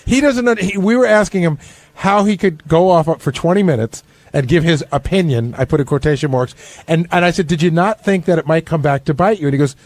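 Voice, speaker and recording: A man's voice: a little high, a little fast, no echo. A man co-hosting a talk radio show, discussing things in a casual, conversational way. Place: radio studio.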